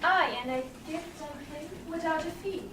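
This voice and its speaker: A woman's voice: high-pitched, moderate pace, sharp tone. A young woman playing a saucy lady's maid in a Shakespeare staged reading, playfully declaiming from a stage. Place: small theatre stage with audience. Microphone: far camera in the audience. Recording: roomy, distant, noisy theatre recording.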